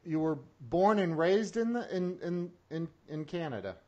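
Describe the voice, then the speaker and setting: A man hosting an interview show, talking in an excitable, casual way with lots of restarts and laughs. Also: on the high side, unhurried, lo-fi sound. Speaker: podcast host.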